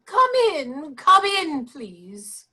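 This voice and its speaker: High voice, slow, injured female investigator, theatrical, evasive, insistent, radio drama. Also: very animated, background noise, full character voice. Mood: apologetic, strained. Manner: emphatic, theatrical, deliberate. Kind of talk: radio play acting.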